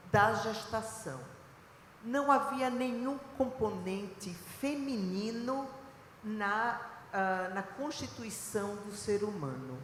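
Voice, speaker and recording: A woman from Recife with a Recife accent, speaking on a panel in a deliberate, lecturing way with frequent filler pauses. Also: mid-range voice, a little hiss or hum. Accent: Recife, Brazilian Portuguese. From Recife, Brazil. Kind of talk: panel talk. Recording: echoey hall recording.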